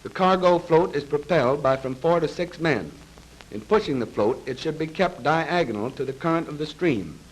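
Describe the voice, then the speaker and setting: A man reads scripted narration for a 1930s military training film in a formal, measured, precise manner, on a vintage film soundtrack. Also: a little high, lively intonation, a little hiss or hum, rough recording. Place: voice-over recorded for a film.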